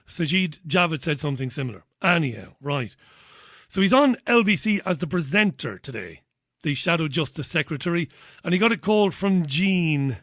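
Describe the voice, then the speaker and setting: A man with a fairly high voice hosts a talk show. He talks casually and sardonically, wandering off into his own opinions. The sound is dry, with very little room to it. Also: animated, normal speed, a bit bright, no room sound. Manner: digressive, sardonic.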